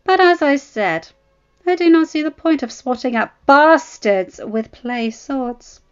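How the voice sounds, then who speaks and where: A woman's voice: sits high, expressive, voice pushed up. A spoiled, sneering teenage prince in a fantasy storybook, acted by a woman reader. The voice is lifted and haughty, stretching words to taunt, on a slightly dull recording. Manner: haughty, taunting, drawn-out emphasis.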